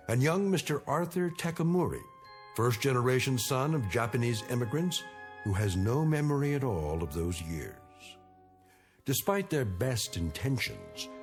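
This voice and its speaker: An American man delivers portentous scripted narration for a drama anthology. His mid-pitched voice rises and falls widely, measured and theatrical, over music.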